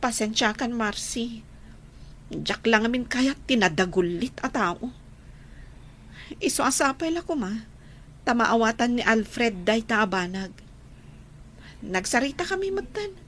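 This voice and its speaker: High-voiced, fed-up young Ilocano woman. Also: lively intonation, unhurried, long pauses, a bit bright, a little hiss or hum. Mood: exasperated. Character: young woman student, firm, fed-up, rejecting her former sweetheart. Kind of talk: radio drama acting.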